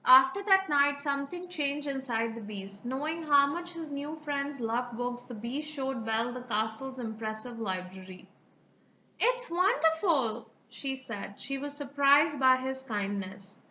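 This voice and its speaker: A woman narrating a children's fairy tale as an expressive, dramatic storyteller. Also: high-pitched, measured pace, hardly any pauses, dull audio, slight background noise.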